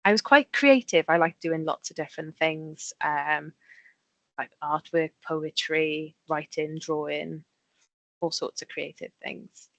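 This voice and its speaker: Woman in her 30s chatting to school kids about her life, lowish voice, lots of ums, dry close sound. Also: takes it slowly, creaky, no echo, rough recording. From Wales. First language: Welsh. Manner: chatty, simple explanations with frequent ums. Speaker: engineer giving a talk. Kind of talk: friendly presentation to schoolchildren.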